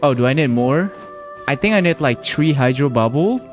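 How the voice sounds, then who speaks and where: A man's voice: medium pitch, expressive, moderate pace, faint music behind. A man streaming live gameplay, chatty and playful, talking to himself as he plays. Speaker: game streamer.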